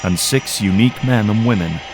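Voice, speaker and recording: A man's voice: fairly low pitch, a little fast, background noise. A man delivering grave, florid scripted narration for an audio drama.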